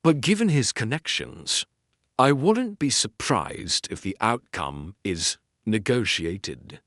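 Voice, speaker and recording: A man's voice: on the low side, sing-song, takes it slowly, a bit bright, no echo, clean studio sound, exaggerated voice. A man voicing a detective's wary male companion in a mystery audiobook, earnest and questioning. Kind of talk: dramatic audiobook character reading.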